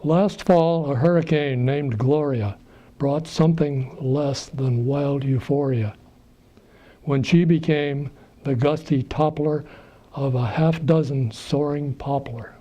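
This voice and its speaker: Male poetry reader, dark and unhurried, on slightly dull audio. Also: medium pitch. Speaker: reader of poems. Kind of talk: reading rhyming verse aloud.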